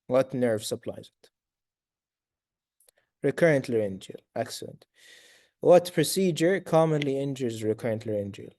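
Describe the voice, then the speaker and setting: A man lecturing medical students in a raspy voice, quizzing them and praising answers, with Arabic fillers between points. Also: medium pitch, expressive, moderate pace, pauses often, mellow, no room sound, clean studio sound. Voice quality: raspy from long talking. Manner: sprinkles Arabic fillers like tamam, inshallah.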